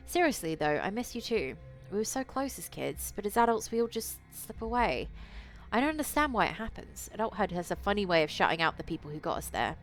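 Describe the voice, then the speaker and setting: A woman's voice: mid-range voice, sing-song, brisk. A woman voicing a breezy female childhood friend in a visual novel, playful, familiar and wry. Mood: wistful. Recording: music behind the voice.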